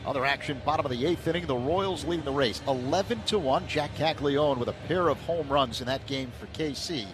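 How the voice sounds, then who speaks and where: A man doing radio play-by-play of a baseball game, measured in tone and rising with the action. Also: fairly high pitch. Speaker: play-by-play announcer. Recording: broadcast with clear background noise.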